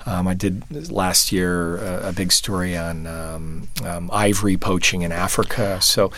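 A man being interviewed as a photojournalist, answering thoughtfully and haltingly, with many restarts and fillers. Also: sits low, fairly even intonation, pushed and bright, no room sound. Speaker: photojournalist guest.

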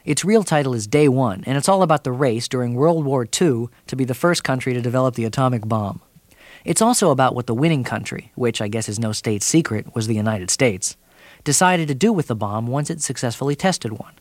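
A man's voice: mid-range voice, expressive, fairly quick, no room sound. Dry-voiced male TV critic. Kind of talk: scripted broadcast review.

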